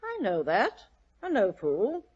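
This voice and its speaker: A woman's voice: medium pitch, huge pitch swings, slow pace, darkish tone, husky, exaggerated voice. An actress playing a vain, imperious, portly middle-aged society lady, grand and melodramatic, in an old-time radio drama. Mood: emphatic, indignant. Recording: dull old radio broadcast recording.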